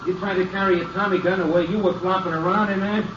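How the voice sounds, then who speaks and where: An actor playing a cocky, scornful outlaw in an old-time radio drama, with rough slangy talk. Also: male voice, high voice, steady tone, quick pace, lo-fi sound, close to an everyday voice. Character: cocky, needling outlaw, tough and quarrelsome. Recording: distant, echoey, noisy old radio recording.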